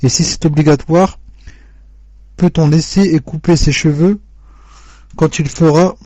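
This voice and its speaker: Mellow man reading questions aloud. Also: on the high side, fairly even intonation, no echo. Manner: measured, formal reading. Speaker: question reader. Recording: dull dry lo-fi recording.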